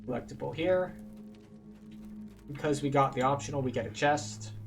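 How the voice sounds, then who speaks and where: A man's voice: medium pitch, moderate pace, creaky, distant mic, over music. Casual man guiding a game playthrough. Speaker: game guide. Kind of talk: casual game walkthrough commentary.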